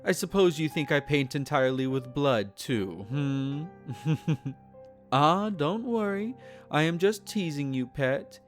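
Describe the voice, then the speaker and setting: A man's voice: a little high, animated, slow pace, faint music behind. A man playing a possessive, teasing vampire in an intimate roleplay audio, speaking in formal, silky tones and courtly endearments. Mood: playful, teasing. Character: possessive, teasing, courtly male vampire, centenarian yet youthful. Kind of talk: intimate roleplay audio, scripted character acting.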